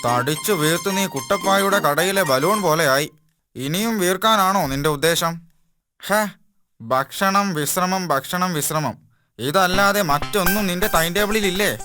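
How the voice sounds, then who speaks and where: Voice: medium pitch, normal speed, bright, forceful tone, no echo, soft background music, lightly acted. A performer voicing a mischievous, carefree young animal in a children's cartoon, who teases a friend. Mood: teasing. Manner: teasing, mocking.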